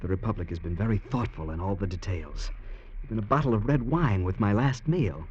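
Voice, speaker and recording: An actor playing a doomed innocent convict, passionate and bitterly ironic, in theatrical old radio drama style. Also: male voice, lowish voice, a little fast, slightly rough. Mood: bitterly wry. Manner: theatrical, swinging from hushed to impassioned. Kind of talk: 1940s-50s American radio drama acting. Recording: dull, noisy vintage radio recording.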